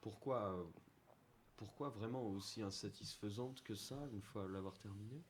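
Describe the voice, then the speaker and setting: A man hosting a radio interview, asking long questions with bursts of loud emphasis. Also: on the low side, normal speed. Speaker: radio host. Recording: noisy line with clicks.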